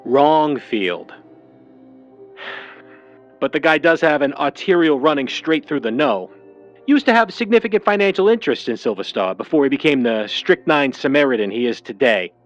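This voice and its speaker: An actor playing a wary, slangy Hollywood agent in a hard-boiled 1940s-style noir audio drama. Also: male voice, a little high, lively intonation, normal speed, dull audio, soft background music, close to an everyday voice. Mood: cagey. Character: wary fast-talking old Hollywood talent agent, man.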